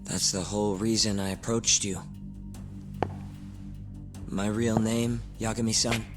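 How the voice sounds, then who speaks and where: Male actor as sorrowful young man, game drama, lowish voice, hesitant confession, loud score behind. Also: little pitch movement, natural voice. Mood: remorseful, confessional. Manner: hesitant, earnest, halting confession. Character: young man confessing his true identity, sorrowful, avenging brother. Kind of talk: English video game dub acting. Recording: voice over loud music.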